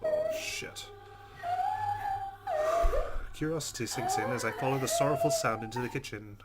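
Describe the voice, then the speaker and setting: A man playing a game, reading its text aloud dramatically and reacting in a jumpy, expressive way. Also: medium pitch, moderate pace, loud music behind the voice. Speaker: let's player. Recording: loud game sound behind voice. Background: game audio.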